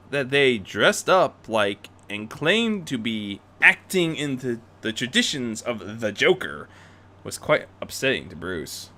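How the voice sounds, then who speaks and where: Male story narrator for fan fiction, bright, forceful delivery with extremely lively melodic swings. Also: mid-range voice. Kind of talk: expressive scripted story narration.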